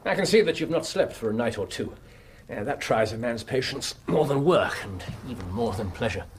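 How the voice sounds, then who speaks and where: An actor playing a keen, dry-witted Victorian consulting detective in a radio drama, with an English accent and precise, urbane, musing speech. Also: male voice, mid-range voice, animated, fairly quick, natural voice. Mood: courteous, reassuring. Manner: precise, urbane, musing with little hums and sighs.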